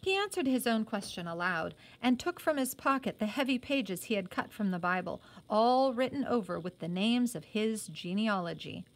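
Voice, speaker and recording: A woman's voice: mid-range voice, animated, moderate pace, few pauses. A woman reading a novel aloud as a teacher would, with short explanatory asides.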